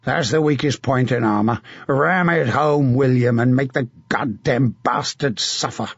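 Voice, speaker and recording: A man voicing a gruff, profane veteran knight rallying his men before battle. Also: mid-range voice, measured pace, dry recording, highs cut off. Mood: gruff rousing. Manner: rousing, profane.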